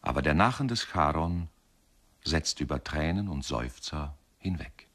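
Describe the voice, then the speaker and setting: A man reading scripted literary narration for radio in a measured, solemn way. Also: extremely low pitch, animated, fairly bright tone.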